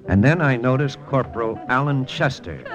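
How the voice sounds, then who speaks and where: An actor playing a wry, hard-boiled American army lieutenant in old-time radio drama, clipped and slangy. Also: male voice, medium pitch, expressive, moderate pace, lightly acted. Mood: wry, rueful. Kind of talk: 1940s-50s radio drama acting and narration. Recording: vintage radio broadcast with faint music.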